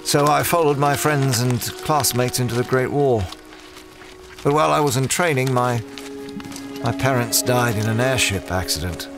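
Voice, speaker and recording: A man's voice: medium pitch, moderate pace, creaky, music in the background, close to an everyday voice. A man acting as an earnest, guilt-ridden scholar adventurer in an audio drama, with a hesitant, confessional manner. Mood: haunted, confessional.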